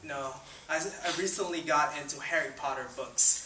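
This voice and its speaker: Young guy from the philippines giving a chatty church talk, high voice, echoey hall, heard from far. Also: moderate pace, crowd noise. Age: 20s. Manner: chatty and upbeat, many "um"s and "you know"s. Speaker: student ministry leader. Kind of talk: informal sermon talk. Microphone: far camera, no mic seen. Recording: roomy hall sound from a distance.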